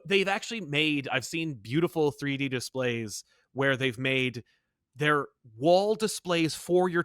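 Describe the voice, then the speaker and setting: A man's voice: on the high side, bright, forceful tone, smooth, no echo, studio quality. A man hosting a podcast, chatting casually about pop culture in an opinionated, emphatic way. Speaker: podcast host.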